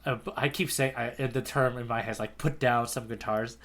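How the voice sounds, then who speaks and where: Male podcast co-host, bright tone, mid pitch, casual and slangy, thinks out loud. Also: steady tone, moderate pace. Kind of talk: casual conversational discussion.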